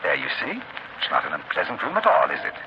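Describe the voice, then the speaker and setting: An actor playing a genial but sinister prison guard obsessed with death, coaxing and grandiose, in 1930s radio thriller style on a scratchy old recording. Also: male voice, rumbling low, wildly swooping pitch, fast, full character voice. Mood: unctuous, coaxing. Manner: unctuous, coaxing, with stammered uhs and grandiose turns. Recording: scratchy, muffled 1930s radio broadcast, heavy noise.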